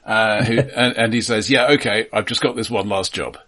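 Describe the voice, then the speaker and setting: A man co-hosting a film podcast in casual discussion, speaking haltingly with repeated words and dry, wry humour. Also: on the low side, fairly even intonation, a bit bright.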